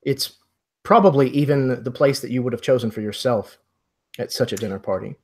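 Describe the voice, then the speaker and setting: A man working as game master, narrating an improvised roleplay scene in a measured, descriptive way. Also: mid-range voice.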